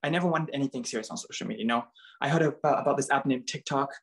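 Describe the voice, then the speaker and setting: A man's voice: medium pitch, very fast. A young man from Bulgaria, raised in Canada, chatting casually as an interview guest and filling his talk with "like" and "um". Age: young adult. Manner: chatty, many fillers like "like" and "um". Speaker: interview guest, model and dancer. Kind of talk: casual chat.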